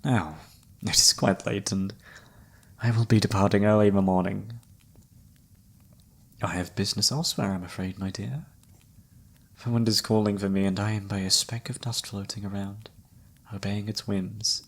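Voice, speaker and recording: A man playing a mysterious, flirty palm reader in an ASMR roleplay, speaking softly in a formal, courtly way. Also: on the low side, fairly even intonation, moderate pace, long pauses. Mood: grave, gentle warning. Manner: soft-spoken, formal, courtly. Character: mysterious flirty palm reader, a wandering male fortune-teller.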